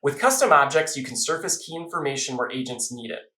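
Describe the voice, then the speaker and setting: A man's voice: mid-range voice, animated, fairly quick, pushed and bright, roomy sound. A man giving a polished, explanatory corporate product presentation from a script.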